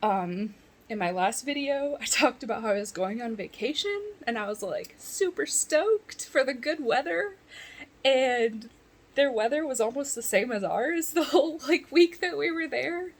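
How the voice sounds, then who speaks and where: Woman, congested, slow-talking, fairly high voice, rambling casual chat, laughs often. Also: expressive. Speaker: vlogger. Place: at home, in bed.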